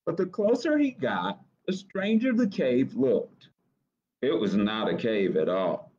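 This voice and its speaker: A middle-aged American man reading a picture book aloud to children, expressively, pausing to ask questions. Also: medium pitch, big pitch swings, darkish tone, highs cut off, slight background noise. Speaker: library storytime reader. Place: small office, indoors.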